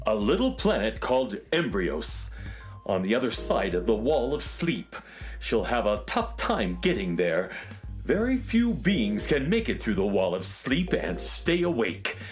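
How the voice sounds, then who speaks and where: An actor playing a fussy, eager male space handyman in a children's radio drama, quick and flustered. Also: mid-range voice, huge pitch swings, faint music behind. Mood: worried.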